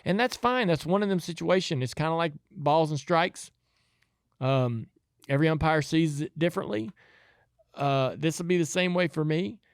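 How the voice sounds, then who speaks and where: A man's voice: on the high side, animated, normal speed, smooth, dry recording, studio quality. A man with a Southern US accent chatting casually about racing as a podcast host, in a rambling, folksy, easygoing way.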